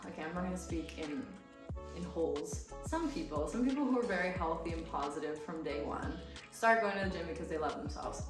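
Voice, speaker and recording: A young American woman, raised speaking Romanian, chatting and laughing through fan questions in a vlog. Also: on the low side. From United States. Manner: bubbly, chatty, laughs often. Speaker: fitness creator. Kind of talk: casual Q&A vlog. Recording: echoey room with music from a distance.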